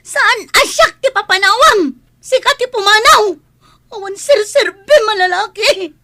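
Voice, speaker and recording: A woman's voice: extremely high pitch, measured pace, sharp tone, exaggerated voice. A woman acting a fiery, aggrieved young wife in a heated audio drama. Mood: angry, tearful. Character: fiery, aggrieved young woman scorning her useless man.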